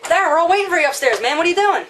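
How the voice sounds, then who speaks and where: A woman voicing a naive, earnest schoolboy puppet, a pushy friend who talks haltingly and repeats himself in comic dialogue. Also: very high-pitched, expressive, a bit bright, a little hiss or hum. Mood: earnest coaxing. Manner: halting, repetitive pep talk and baffled questions.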